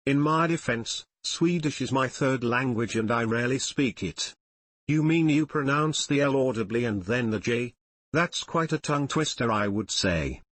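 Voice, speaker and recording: A man's voice: medium pitch, a bit bright, dry recording. A man reading online forum comments aloud in a plain, matter-of-fact way. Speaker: comment reader.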